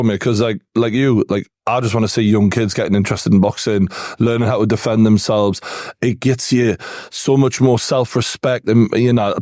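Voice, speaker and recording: A man's voice: medium pitch, a little mellow, no echo, studio quality. A man with a Geordie accent hosting an interview, asking loose, filler-heavy questions. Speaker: podcast host. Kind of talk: casual interview chat.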